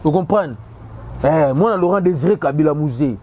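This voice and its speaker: Animated Congolese man, warm voice. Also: fairly high pitch, brisk, slight background noise. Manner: animated, code-switching between Lingala and French, frequent repetitions. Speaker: sports commentator host. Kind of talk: casual opinion monologue.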